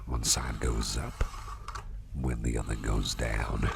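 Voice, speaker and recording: A man playing a gentle, doting businessman boss in an ASMR roleplay, murmuring soothingly in a gravelly, breathy half-whisper. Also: a bass voice, fairly even intonation, slow, background noise, heavily acted. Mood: musing, bemused. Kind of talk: ASMR roleplay, personal attention.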